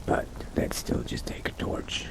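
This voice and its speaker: A man voicing a brooding, curious young vampire adventurer in a video game roleplay, musing to himself in a slow, hushed, gravelly whisper. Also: lightly acted. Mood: musing, wary. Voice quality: very deep, breathy, gravelly whisper. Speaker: roleplay gamer.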